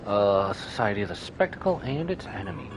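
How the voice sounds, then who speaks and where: Low-voiced man streaming games, very animated. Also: brisk, noisy background. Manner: playful, reacting and musing aloud. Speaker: game livestreamer. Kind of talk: casual live gameplay commentary. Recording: music behind the voice.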